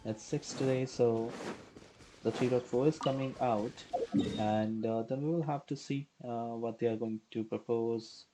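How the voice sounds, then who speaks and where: A man's voice: mid-range voice, fairly even intonation, unhurried, background noise. A man streaming a game live with casual commentary, musing aloud in a hesitant way. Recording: game music behind the voice.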